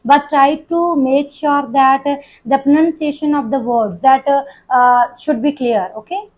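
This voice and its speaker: A young woman teacher with an Indian English accent carrying a Punjabi touch talks students through exam tips. Her voice sits high. She pauses on frequent fillers and breaks off mid-word to restart. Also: fairly even intonation, darkish tone, rough recording. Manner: hesitant, many fillers and restarts. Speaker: IELTS coaching teacher. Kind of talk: spoken lesson to students.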